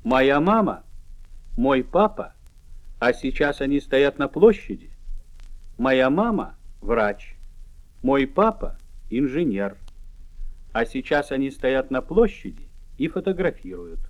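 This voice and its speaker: A man at a middle pitch reads language drills one careful syllable at a time. He speaks very slowly and deliberately, like a course announcer. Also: big pitch swings. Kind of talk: slow, careful pronunciation drills.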